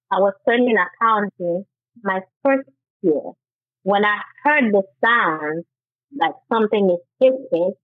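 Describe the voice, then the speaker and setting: Lady telling her story, halting and earnest, thin phone-line sound, dry. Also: medium pitch, no echo. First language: Haitian Creole. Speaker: guest author. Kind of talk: interview, personal testimony.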